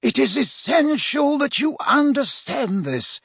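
A man's voice: high-pitched, very animated, measured pace, breathy edge, dry recording, studio quality, pitched above the performer's own voice, full character voice. A man voicing an old, wise headmaster wizard in an audiobook, speaking formally and emphatically as an earnest, urgent mentor. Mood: impatient, agitated.

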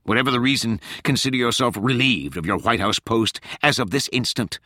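A man voicing a domineering female senior presidential advisor, raspy, stern and cutting, in a dramatized audiobook. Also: mid-range voice, fairly quick, sharp tone, no echo, clean studio sound, pitched above the performer's own voice. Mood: threatening.

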